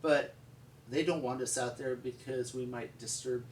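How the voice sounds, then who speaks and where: An American man telling stories in an unscripted, conversational way, with a rambling, halting delivery. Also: medium pitch, fairly even intonation. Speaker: paleontologist and museum founder telling stories. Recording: echoey, distant mic with background noise.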